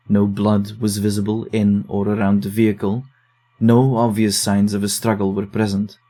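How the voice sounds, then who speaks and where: A man's voice: on the low side, dark tone, natural voice. A man playing a wary young investigator. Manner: reluctant, wary, exasperated in banter. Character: young man digging through eerie case recordings. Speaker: investigator reading case files. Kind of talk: audio drama narration and dialogue.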